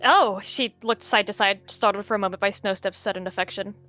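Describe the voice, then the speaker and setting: Bright-voiced woman narrating a roleplay. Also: medium pitch, fairly quick, faint music behind.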